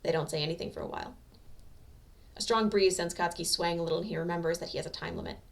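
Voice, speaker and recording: A woman reading a story aloud as an amateur narrator, with expressive scripted delivery. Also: on the low side, quick pace, creaky, far from the mic.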